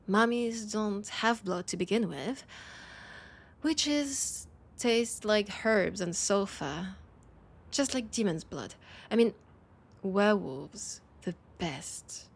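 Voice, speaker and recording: Woman playing a breathy, slow-spoken French vampire lady. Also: mid-range voice, full character voice. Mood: teasing, wry. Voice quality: breathy, husky, often dropping to whispers. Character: centuries-old vampire woman, teasing, nostalgic, bloodthirsty. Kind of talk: intimate audio roleplay, one-sided dialogue.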